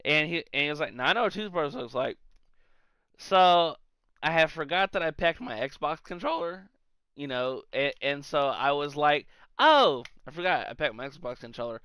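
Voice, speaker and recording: A man co-hosting a podcast, telling comic anecdotes in a casual, animated, sweary way. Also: fairly high pitch, moderate pace, smooth, no echo. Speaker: podcast co-host.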